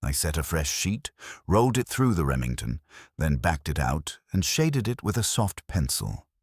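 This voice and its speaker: A man's voice: very deep voice, sing-song, moderate pace, breathy edge, no echo, studio quality. A man narrating a detective audiobook in a wry, wisecracking first-person style.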